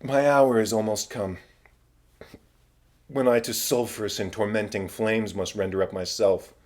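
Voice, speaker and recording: A man voicing the ghost of a murdered king, a solemn, tormented, vengeful father declaiming in drawn-out phrases in a Shakespeare audiobook. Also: lowish voice, steady tone, darkish tone. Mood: solemn, ominous. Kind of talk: dramatic Shakespeare audiobook reading.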